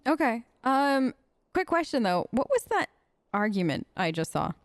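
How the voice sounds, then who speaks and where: A woman's voice: fairly high pitch, big pitch swings, normal speed, no echo. A woman giving casual, wry gaming commentary as a let's play host, chatting in character on a close mic.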